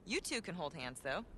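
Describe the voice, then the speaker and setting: Game journalist: American, young, low register, dry teasing with real concern. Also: female voice, fairly bright tone, a little hiss or hum, full character voice. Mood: playful. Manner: wry, teasing.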